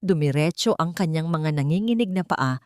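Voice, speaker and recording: A woman narrating a dramatic scripted story in a measured, solemn, melodramatic way. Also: sits low, expressive, a little mellow, no echo, studio quality.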